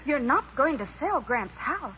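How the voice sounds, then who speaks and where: Ingénue, 1940s radio drama: young country woman, high voice, earnest and gentle, dull old recording. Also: sing-song, a little slow, a little hiss or hum, exaggerated voice. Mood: stunned, pleading. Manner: earnest, wholesome.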